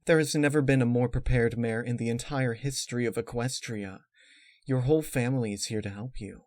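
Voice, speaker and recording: A man's voice: medium pitch, fairly quick, a little mellow, dry recording, close to an everyday voice. A man voicing an earnest, bookish young mare, a reassuring friend, in a story reading.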